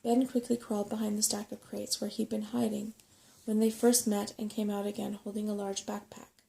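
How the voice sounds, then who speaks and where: Soft-spoken woman reading, creaky voice. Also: medium pitch, flat delivery, moderate pace, low-quality recording. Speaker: audiobook-style reader. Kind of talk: soft-spoken ASMR bedtime story reading.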